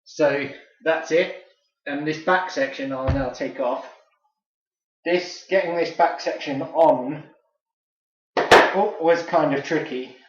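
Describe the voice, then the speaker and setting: A man's voice: a little high, takes it slowly, long pauses. A British man giving a casual, unscripted product review as host, chatty and informal like an enthusiastic fan. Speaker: toy reviewer and video host. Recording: echoey room, slightly dull sound.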